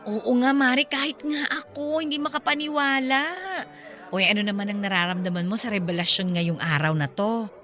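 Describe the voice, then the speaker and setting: A woman playing a chatty, excitable middle-aged mother confiding in her best friend in a radio drama. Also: mid-range voice, moderate pace, faint music behind, lightly acted. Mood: surprised, pleased. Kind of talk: conversational radio drama acting.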